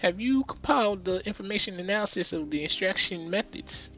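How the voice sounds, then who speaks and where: Dude doing a tiny furious boss lady, bright sharp voice, jumps all over, stiff and formal. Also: sits high, expressive, brisk, lo-fi sound, soft background music, pitched above the performer's own voice, heavily acted. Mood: scornful, formal. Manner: stiff, formal, barking and scornful.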